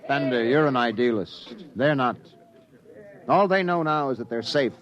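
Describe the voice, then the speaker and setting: An actor playing a firm, practical rocket captain in a 1950s radio drama, with a steady, commanding delivery. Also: male voice, mid-range voice, normal speed, lo-fi sound, lightly acted.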